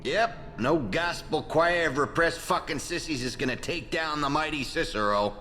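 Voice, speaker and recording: An actor playing a vain, foul-mouthed corrupt American politician in a video game, with a pompous, swaggering delivery. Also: male voice, on the high side, moderate pace, sharp tone, soft background music. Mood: triumphant, boastful. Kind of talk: video game character acting. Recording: long reverb.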